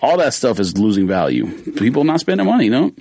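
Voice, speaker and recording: A man's voice: medium pitch, big pitch swings, brisk, warm tone, no room sound. A man co-hosting a podcast in casual chat, insisting on his point in a lecturing way. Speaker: podcast co-host.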